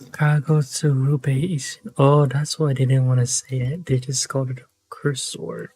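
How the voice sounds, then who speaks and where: A man giving casual gameplay commentary, husky and low-key, muttering to himself. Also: flat delivery, moderate pace, darkish tone. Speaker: game streamer. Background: background game music.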